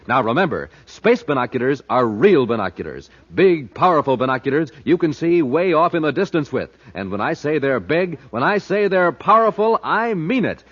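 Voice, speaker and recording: Punchy 50s radio ad guy selling to kids, super animated hard sell, dull old recording. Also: a little high. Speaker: announcer and pitchman. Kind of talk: 1950s children's radio advertising.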